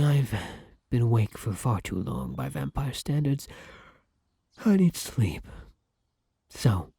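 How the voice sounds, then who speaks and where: Man acting a husky, courtly gentleman vampire. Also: mid-range voice, no room sound, studio quality. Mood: weary. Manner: formal, flowery, affectionate speech. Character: courtly, devoted gentleman vampire, male, tender and old-fashioned. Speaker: roleplay voice actor. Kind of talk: ASMR roleplay acting addressed to the listener.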